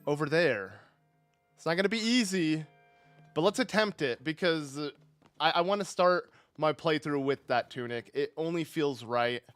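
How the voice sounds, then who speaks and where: A man's voice: fairly high pitch, a bit bright. A young man casually commenting on a live game stream, rambling and correcting himself with little chuckles into a desk microphone.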